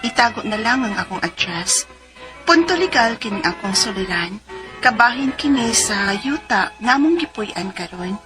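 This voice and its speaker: A woman's voice: medium pitch, expressive, normal speed, close to an everyday voice. An actress with a Cebuano accent playing a married mother in her forties who tells her story in a radio drama. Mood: earnest, narrating. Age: 40s. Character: Zenaida, 42-year-old married mother of two, letter sender. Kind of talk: radio drama acting and letter narration. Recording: music bed behind the voice.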